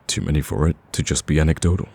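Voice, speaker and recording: A man playing a smitten, cocky villain in a romantic ASMR roleplay, with a husky, very deep voice and a smooth-talking, teasing, pleading manner. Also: steady tone, fast talker, dark tone, full character voice. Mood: wry, teasing. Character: smitten male villain, cocky, persistent, wooing.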